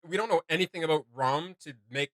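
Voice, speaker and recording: A man hosting a reaction video, chatting casually in an animated, rambling, speculative way. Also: on the high side, fast, no room sound. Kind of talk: casual chat, reacting to game voice lines.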